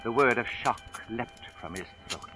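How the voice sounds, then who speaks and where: A man's voice: medium pitch, animated, normal speed, natural voice. A man playing a suave, pompous crime writer, telling a tale floridly in 1940s radio drama style. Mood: grave suspense. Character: suave, theatrical male crime writer and storyteller. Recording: old radio recording with music. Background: dramatic music.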